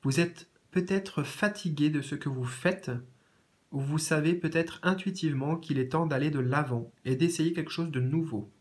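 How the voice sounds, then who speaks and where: Husky French man with a cold. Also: a little high, normal speed. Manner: gentle, repeats phrases, many fillers. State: has a cold and sore throat. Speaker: tarot reader. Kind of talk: tarot reading, reading out card meanings. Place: indoors at a card table.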